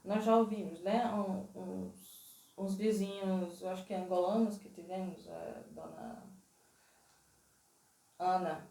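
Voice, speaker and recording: A woman with a Northeastern Brazilian accent talks through her answers in an interview, thoughtful and measured, and she leans hard on key points. Her voice sits at a middle pitch. It is recorded in a roomy space, a little away from the mic. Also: steady tone, warm tone, recorded from a distance. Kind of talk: interview conversation. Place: Portugal.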